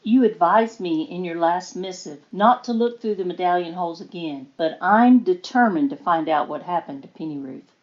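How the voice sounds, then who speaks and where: A woman's voice: lowish voice, normal speed, a little mellow, lightly acted. Woman playing an earnest niece, slightly muffled. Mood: determined, questioning. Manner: earnest, pleading. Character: earnest, determined young niece recounting strange time travel. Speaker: voice actor in a fiction podcast. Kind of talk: dramatic reading of a letter.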